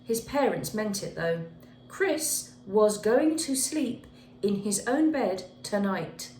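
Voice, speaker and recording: A woman's voice: medium pitch, a little mellow. A woman reading a children's picture book aloud expressively, with dramatic emphasis on key words. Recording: echoey room with faint background noise.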